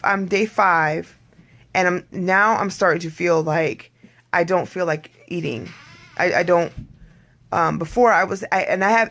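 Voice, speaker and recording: Hoarse, gravelly-voiced vlogger. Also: mid-range voice, a bit bright. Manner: rambling, candid, frequent throat clearing. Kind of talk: casual video diary.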